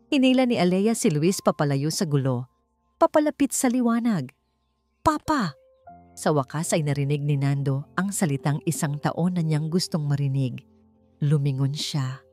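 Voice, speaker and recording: A woman's voice: deep voice, a little mellow. A woman narrating a dramatic audiobook story in a scripted storytelling style. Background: background music.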